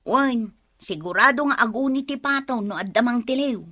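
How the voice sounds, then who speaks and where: A woman's voice: fairly high pitch, moderate pace, sharp tone, no room sound. A woman playing a blunt, questioning village woman in an Ilocano comedy audio drama, speaking with an Ilocano Filipino accent. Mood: plotting. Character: sharp-tongued village woman, questioning and practical.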